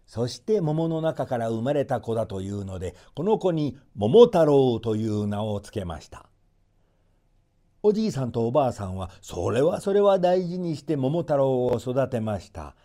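A man narrating a folktale expressively, with measured storybook phrasing and playful emphasis. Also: mid-range voice, sing-song, warm tone.

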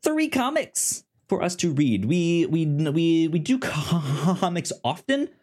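A man co-hosting a casual review podcast, talking informally and haltingly, often stuttering and repeating syllables. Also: fairly high pitch, sing-song, darkish tone. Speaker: podcast co-host. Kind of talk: casual review show chat.

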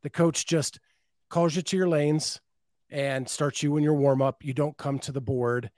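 A man's voice: a little high, steady tone, a little slow, darkish tone, dry recording. Dry-voiced male podcast host. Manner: reads listener comments aloud and expands on them. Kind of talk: casual chat.

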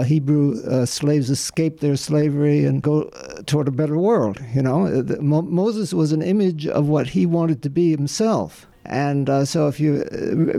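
A man who is a museum board member, explaining history haltingly with many fillers during a tour. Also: on the high side, creaky, warm tone.

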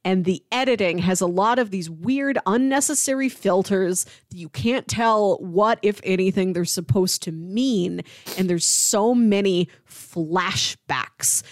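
A woman co-hosting a podcast, giving opinions on a show in casual, animated chat peppered with "like". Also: medium pitch, measured pace, no echo, clean studio sound. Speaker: podcast co-host reviewing a TV drama.

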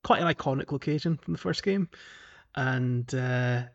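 A man's voice: medium pitch, normal speed, gravelly, highs cut off. A man chatting casually as a gaming podcast co-host, hesitant and rambling, with frequent chuckles. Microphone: remote call.

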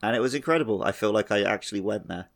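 Male podcast panellist, lowish voice, opinionated, informal conversation. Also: lively intonation, very fast, creaky. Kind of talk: casual chat.

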